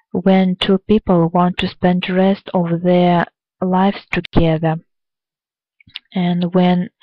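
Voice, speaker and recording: Female English learner from Russia, low flat slow hesitant delivery. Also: no echo, phone-quality sound. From Russia, Tatarstan. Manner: hesitant learner speech with restarts. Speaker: student in an online English class.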